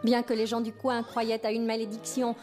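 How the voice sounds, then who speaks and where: A woman's voice: on the high side, flat delivery, normal speed, over music, close to an everyday voice. A woman playing an earnest, determined mother and explorer in a French cartoon, speaking articulately. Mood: earnest, insistent.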